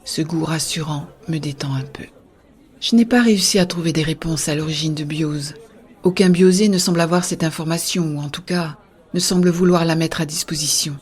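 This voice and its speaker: Actress as an idealistic woman, husky, rather low voice, earnest, gentle, sci-fi audio fiction. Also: fairly quick. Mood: hushed, pensive. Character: Béa, earnest idealistic woman defending a shared-consciousness network. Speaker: voice actor narrating in first person. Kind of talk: scripted science-fiction audio drama with intimate narration.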